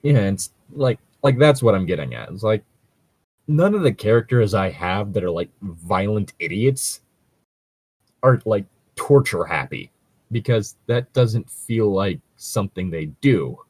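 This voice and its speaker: Male co-host, lowish pitch, dry close sound, husky edge, rambling casual gamer chat with frequent swearing. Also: expressive, unhurried, a little mellow, no echo. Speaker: co-host reading and discussing stories. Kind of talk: casual chat about tabletop role-playing.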